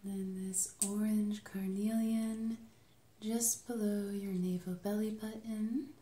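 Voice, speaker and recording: Soft, flat-toned woman doing roomy ASMR. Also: mid-range voice. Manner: soft-spoken, soothing, partly whispered. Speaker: Reiki healer and channel host. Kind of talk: ASMR guided energy healing session. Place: small dim indoor treatment room. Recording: roomy, slightly noisy home recording.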